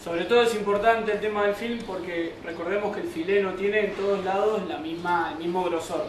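A man's voice: sits high, steady tone, brisk. A young man with an Argentine accent, presenting a cooking show off the cuff as its host. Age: young adult. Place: winery kitchen and open-air patio. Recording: echoey, distant mic, noisy ambience.

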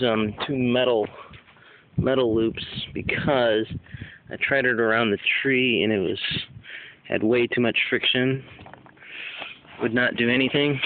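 A man giving a casual, unscripted demonstration, thinking aloud in a hesitant, halting way, recorded outdoors on a handheld camera. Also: medium pitch, phone-quality sound. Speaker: survival hobbyist demonstrating knots. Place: outdoors under trees at picnic table. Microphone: handheld camera held by the speaker. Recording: noisy outdoor handheld camera, clicks and rustle.

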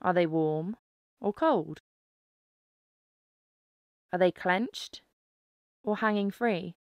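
A woman narrating a guided mindfulness meditation, giving calm, gentle instructions with pauses. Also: fairly low pitch, no echo, highs cut off.

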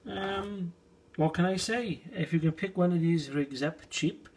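A middle-aged British man giving a casual, unhurried and low-key hobbyist demonstration in a conversational way. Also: heavy background noise. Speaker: hobbyist video host. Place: small indoor space, shed.

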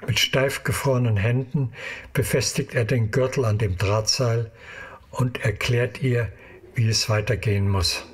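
A man's voice: mid-range voice, fairly even intonation, a little slow, breathy edge. A man narrating an audio drama in a scripted storytelling style.

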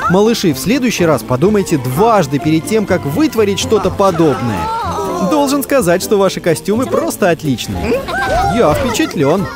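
A man playing an excitable, caring narrator in a children's cartoon, speaking expressively to little vehicle characters. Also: high voice. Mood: delighted, praising. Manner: exclamatory, expressive, addressing small children. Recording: music behind the voice.

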